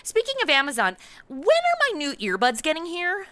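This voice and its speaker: Actress as flippant lazy modern heroine, forceful bright voice, very swoopy delivery, comedy. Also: female voice, high voice, wildly swooping pitch, normal speed, no echo, heavily acted. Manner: sarcastic, flippant, whiny. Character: brash, lazy, self-indulgent modern party girl heroine. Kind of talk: comedy audio drama.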